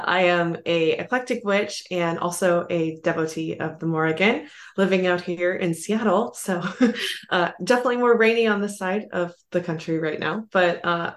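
A woman's voice: medium pitch, fairly even intonation, normal speed. A woman guest on a podcast who chats in a bubbly, giggly way. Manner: bubbly, laughs often. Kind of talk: casual podcast chat. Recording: echoey room.

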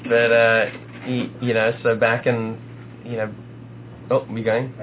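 A man's voice: medium pitch, little pitch movement, unhurried. A man from South Africa with a South African accent, a podcast guest chatting casually and joking about himself. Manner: joking, self-deprecating asides. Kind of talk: casual chat. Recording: lo-fi phone-like sound with faint hiss.

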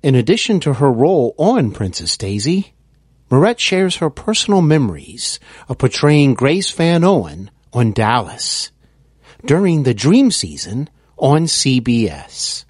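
A man hosting an interview podcast, reading a scripted promo with slow, deliberate delivery. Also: medium pitch, sing-song, a little mellow, slightly rough, no echo, studio quality. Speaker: podcast host.

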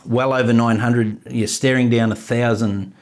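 A man hosting a casual interview podcast, friendly and quick to laugh along with his guest. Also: mid-range voice, steady tone. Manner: friendly, laughs along, says mate often. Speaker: podcast host.

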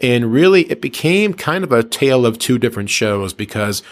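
A man's voice: medium pitch, sing-song, moderate pace. A middle-aged man, a radio personality, telling stories in a conversational, easygoing way with slangy asides to listeners. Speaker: radio personality recounting his career. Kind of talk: conversational storytelling.

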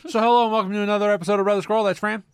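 A young man hosting a podcast in casual chat, swearing often and ranting with lively energy. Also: high-pitched, racing, clear, resonant tone, dry recording. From Utah. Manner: profane, animated ranting. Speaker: podcast host.

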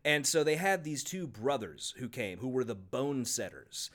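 Animated, sarcastic podcast guy. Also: mid-range voice, normal speed. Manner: sarcastic, swears freely, laughs often. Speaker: podcast co-host. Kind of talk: casual book-discussion podcast chat.

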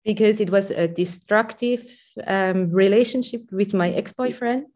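A woman with a Swiss accent calling in to share a personal story, hesitant and full of pauses and fillers. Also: medium pitch. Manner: hesitant, many pauses and fillers, soft laughs. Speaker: caller. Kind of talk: personal testimony on a call-in.

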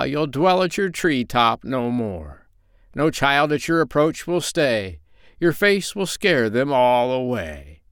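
A man voicing a wicked male storm wind spirit, chanting a spell in a slow, wailing voice. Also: a little high, fairly bright tone, smooth, no echo, clean studio sound, voice pushed up, exaggerated voice.

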